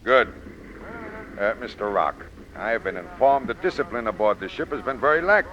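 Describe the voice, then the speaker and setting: Stern, blunt sea captain. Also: male voice, fairly low pitch, lively intonation, moderate pace, bright, forceful tone. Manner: blunt, commanding. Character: stern, gruff, no-nonsense male sea captain. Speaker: ship captain. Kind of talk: 1940s radio acting. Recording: dull, noisy old-time radio recording.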